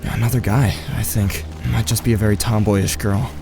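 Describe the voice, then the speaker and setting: A man playing a watchful, tentative teenage boy, giving measured first-person narration in an audio drama. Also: lowish voice, fairly even intonation, gravelly, a little mellow, soft background music. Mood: measured, tense.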